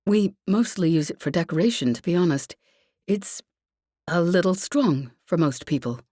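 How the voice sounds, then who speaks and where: A woman's voice: fairly low pitch, animated, normal speed, warm tone, no room sound, studio quality. A woman voicing a courteous, slightly flustered male server in an audiobook, speaking hesitantly.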